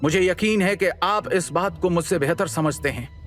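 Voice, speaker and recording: Male narrator as bitter young prince, sarcastic, argumentative, slightly high voice, audio drama, background music. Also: normal speed, fairly bright tone. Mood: bitter sarcasm. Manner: sarcastic, reasoning. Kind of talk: audio drama character acting.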